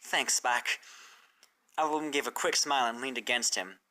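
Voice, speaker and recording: An expressive narrator reading a story aloud in storybook style. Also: medium pitch, fast talker. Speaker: fan fiction narrator.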